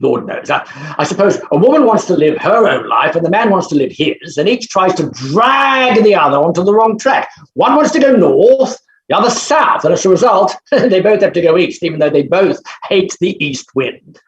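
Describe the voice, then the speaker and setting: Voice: mid-range voice, very animated, normal speed, a little hiss or hum. An actor playing an arrogant, blustering bachelor phonetics professor, with a pompous, theatrical and dismissive manner, in a staged play reading. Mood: exasperated musing. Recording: roomy, echoing sound.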